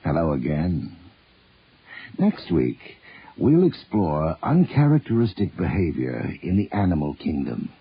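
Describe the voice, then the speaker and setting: A man hosting a radio horror show with scripted macabre lines, sly and theatrical in a gravelly, husky voice. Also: sits low, sing-song, a little slow, dry recording. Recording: muffled old radio broadcast.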